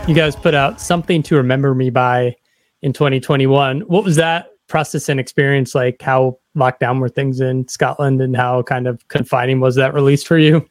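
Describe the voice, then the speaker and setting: A man's voice: medium pitch, fairly even intonation, moderate pace, no room sound, soft background music. A man hosting a podcast, asking questions and giving short, attentive affirmations. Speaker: podcast host. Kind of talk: interview questions and backchannel.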